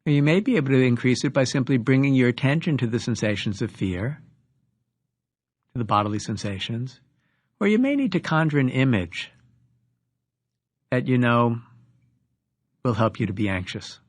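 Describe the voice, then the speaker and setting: Male meditation teacher, medium pitch, varied intonation, instructive phrasing with deliberate pauses, dry recording. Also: expressive, dry recording.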